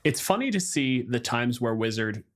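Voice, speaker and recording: A man's voice: medium pitch, very animated, normal speed. A man hosting a podcast, talking informally and explaining things in a casual panel discussion. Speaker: podcast host.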